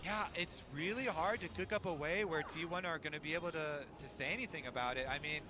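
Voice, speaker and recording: A man's voice: on the high side, quick pace, background noise. A man giving analytical live esports commentary in a conversational way, as if thinking aloud.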